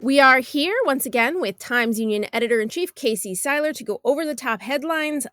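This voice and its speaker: A woman's voice: fairly high pitch, a bit bright, no room sound, clean studio sound. A woman hosting a podcast in a scripted news-magazine style. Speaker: podcast host.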